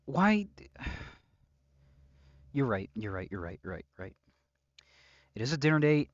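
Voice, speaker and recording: A man playing an obsessive, unstable captor and lover in an intimate audio roleplay, halting and brooding, swinging between pleading and threat. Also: mid-range voice, big pitch swings, no echo, dull audio. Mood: flustered, unhinged. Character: obsessive, unstable male yandere captor, menacingly tender lover.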